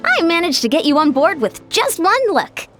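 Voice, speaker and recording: A woman's voice: very high-pitched, sing-song, normal speed. A woman voicing a friendly fitness coach who teases playfully and explains sensibly, in video game dialogue. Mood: earnest. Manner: playful teasing, then earnest explaining. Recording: game soundtrack music clearly behind.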